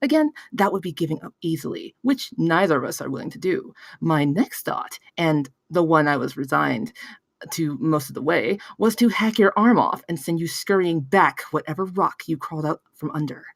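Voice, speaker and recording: A woman's voice: low-pitched, animated, normal speed, gravelly, no room sound, exaggerated voice. A woman playing a smug, menacing, well-spoken male business tycoon in a table read, with a theatrical, mock-genteel manner. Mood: calmly menacing.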